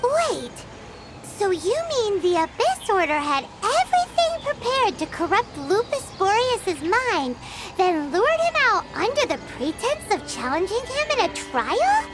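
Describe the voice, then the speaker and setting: A woman voicing a tiny, excitable childlike girl companion in a video game. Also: very high voice, measured pace, over loud music, exaggerated voice. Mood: curious, explaining. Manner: exclaiming, refers to self in third person.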